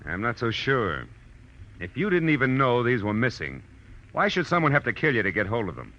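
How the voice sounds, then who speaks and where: An actor playing a tough, wry private eye in a 1940s radio crime drama, speaking in clipped slang on an old recording. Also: male voice, mid-range voice, sing-song, a little fast, bright, forceful tone, lo-fi sound. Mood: hard, accusing. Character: hard-boiled male private eye, wry, tough and insistent. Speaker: detective and first-person narrator.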